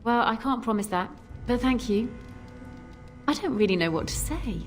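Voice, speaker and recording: Actress as a worn-out game tavern barmaid, a bit high, resentful, light music bed. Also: female voice, lively intonation, normal speed, natural voice. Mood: bitter. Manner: tired, guarded, resentful of her boss. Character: weary young barmaid at a tavern. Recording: game audio over music.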